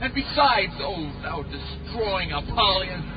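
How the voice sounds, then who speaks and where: A man's voice: high-pitched, expressive, slow pace, lo-fi sound, a crowd in the background. A man playing an earnest, steadfast pilgrim in an audio drama, declaiming archaic lines. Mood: defiant, loyal. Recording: distant mic under loud music. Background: loud music.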